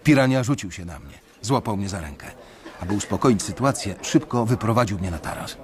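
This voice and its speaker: A man's voice: on the low side, animated, fairly quick. A man narrating a radio drama in the first person, tense and brooding. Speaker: narrator and lead character.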